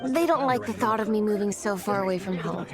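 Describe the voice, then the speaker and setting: A woman's voice: medium pitch, brisk, music in the background, close to an everyday voice. A woman voicing an earnest young comic-artist girl in a video game, insecure but determined, with a hesitant, heartfelt way of explaining herself. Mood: downcast, frustrated.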